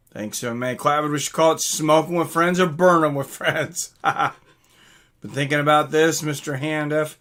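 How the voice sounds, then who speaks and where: A man's voice: fairly high pitch, fairly even intonation, measured pace, pauses often, a bit bright. A man hosting a live stream, chatting casually and reading out comments from viewers.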